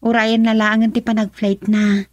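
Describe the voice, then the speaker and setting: An actress with an Ilocano accent playing a gentle, apologetic young woman troubled by conscience in a radio drama. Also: a little high, little pitch movement, normal speed, no echo, lightly acted. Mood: reassuring.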